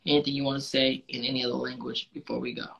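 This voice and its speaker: A man's voice: mid-range voice, completely flat delivery, racing, creaky, a bit bright, muffled recording. A man hosting a casual call-in live stream, laid-back and friendly, calling people dude and man. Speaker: host.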